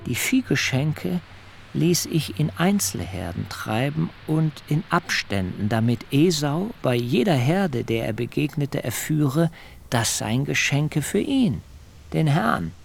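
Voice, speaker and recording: An actor playing a dignified middle-aged biblical patriarch who tells his story solemnly and deliberately in a literary radio drama. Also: on the high side, sing-song, gravelly, faint music behind, heavily acted. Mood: measured, wary. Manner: measured, solemn, deliberate delivery. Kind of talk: literary radio drama, first-person narration.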